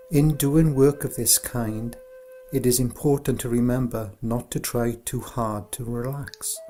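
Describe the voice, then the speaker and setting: A man narrating a scripted guided meditation in a soothing, unhurried, gentle way, as an instructor. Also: medium pitch.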